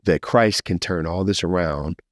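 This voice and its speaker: Deep-voiced American man, heartfelt podcast host. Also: moderate pace, dry recording, clean studio sound. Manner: animated storyteller, slips into encouraging preacher-like address. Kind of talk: casual, heartfelt testimony and conversation.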